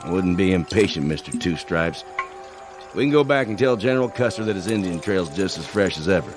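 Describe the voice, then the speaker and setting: A man's voice: deep voice, lively intonation, a little fast, over music. A man playing a seasoned, sardonic frontier army scout, dry and folksy, in a radio drama.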